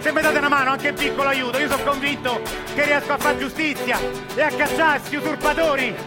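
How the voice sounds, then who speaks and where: Actor as a loud, high, impassioned Roman orator. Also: male voice, steady tone, brisk, loud music behind the voice. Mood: pleading, rallying. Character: impassioned Roman man pleading to his neighbours, earnest, wronged. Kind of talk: theatrical speech-making.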